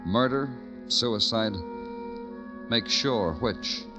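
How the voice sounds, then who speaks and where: A man's voice: mid-range voice, drawn-out words. A man acting a brooding, probing police lieutenant who narrates a classic radio crime drama, with clipped delivery. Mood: brooding, intense. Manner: clipped questioning, poetic brooding monologue. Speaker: lead detective and narrator. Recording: old radio drama with music behind.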